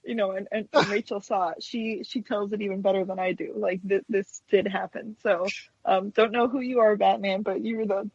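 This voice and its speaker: An American woman with a husky voice talks casually as an interview guest, rambling a little and hesitating as she goes. Her pitch is middling for a woman, and the sound is dry and somewhat muffled, like lo-fi internet audio. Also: fairly even intonation, normal speed, a little mellow, no room sound. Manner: hesitant, rambling, peppered with "you know". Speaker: guest, stop-motion puppet animator. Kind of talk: casual interview chat, anecdotes.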